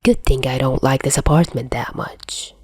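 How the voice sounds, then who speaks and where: A woman's voice: rumbling low, moderate pace, a little mellow, breathy edge, no echo, studio quality, pitched below the performer's own voice. A woman voicing an irritable, cocky young man with a curt, snappish, sardonic delivery in an audiobook story. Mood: dry, sardonic.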